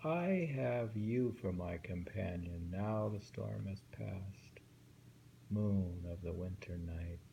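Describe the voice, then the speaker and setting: A man reading short poems aloud twice each and musing over their meaning in a slow, thoughtful way. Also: low voice, creaky, warm tone, highs cut off, a little hiss or hum. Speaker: poetry reader.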